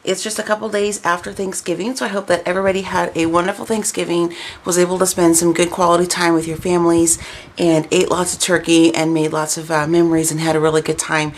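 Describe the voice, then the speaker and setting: A woman in her thirties or forties, hoarse and stuffy with a cold, chatting casually and rambling about crafts at home. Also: on the low side, flat delivery, quick pace, slight background noise. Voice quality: raspy. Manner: chatty, rambling, lots of "um" fillers. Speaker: crafting vlogger. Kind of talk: casual chat to camera.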